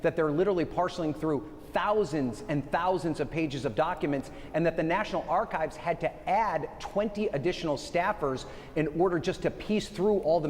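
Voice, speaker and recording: A man reporting live for a news broadcast, explaining things in a conversational, slightly halting way. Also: on the high side, a little mellow, long reverb tail, a little hiss or hum.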